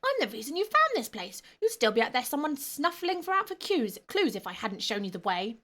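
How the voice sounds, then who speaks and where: A woman's voice: expressive, a little fast, lightly acted. A woman voicing a grumpy, whiny little male goblin ghost prince in a storybook reading. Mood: whiny, indignant. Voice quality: whining, high and shrill.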